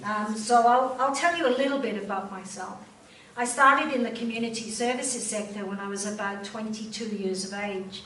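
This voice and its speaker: A woman's voice: medium pitch, a little hiss or hum. A woman with a Welsh accent, a chief executive giving an informal speech to an audience at a volunteer event, with frequent ums and questions put to the listeners. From Wales. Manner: warm, informal speaking with many ums and direct questions to the audience. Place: large room at a volunteer event. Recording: echoey room, voice from far off.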